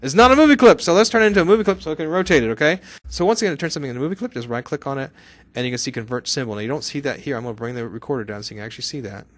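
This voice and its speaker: A man teaches software in a casual screencast style, talking at a fast clip. His pitch is mid-range, and he is chatty, punctuating the lesson with exclamations and quick questions to the learner. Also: lively intonation, dry recording. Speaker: software instructor.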